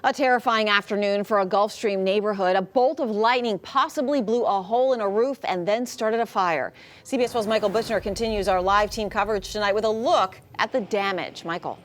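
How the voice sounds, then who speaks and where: A woman's voice: mid-range voice, brisk, slight background noise. A woman with an American accent reading scripted news as a television anchor.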